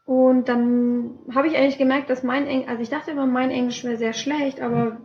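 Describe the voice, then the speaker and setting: Young woman, podcast co-host, cheerful, chatty, high voice, dull lo-fi sound. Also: level tone, fairly quick, a little hiss or hum. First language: German. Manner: cheerful, chatty, many fillers. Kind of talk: casual podcast chat. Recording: dull lo-fi home podcast recording.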